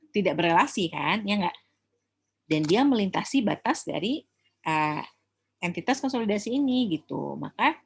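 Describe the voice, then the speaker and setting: Husky low-pitched Indonesian woman lecturing. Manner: conversational, often checking understanding with tag questions. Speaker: accounting lecturer. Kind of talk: informal lecture explaining slides, mixing Indonesian and English.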